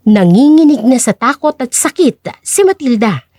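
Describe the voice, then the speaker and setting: A woman narrates a scripted drama story. Her voice is high, with lively swings in pitch. The recording is dry and free of room sound. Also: moderate pace, no echo.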